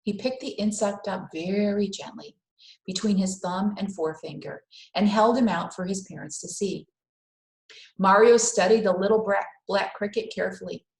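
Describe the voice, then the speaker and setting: Female storyteller, mid pitch, reading children's fiction aloud with careful expression and pauses; echoey room recording. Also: gravelly. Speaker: read-aloud host. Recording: roomy, echoing indoor sound.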